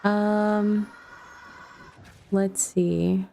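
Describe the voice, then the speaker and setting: A woman's voice: medium pitch, little pitch movement, extremely slow, vocal fry, warm tone, faint music behind. A middle-aged woman chatting casually as she streams a video game, rambling a little and thinking aloud. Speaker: livestreamer playing a video game. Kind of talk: casual chat over gameplay.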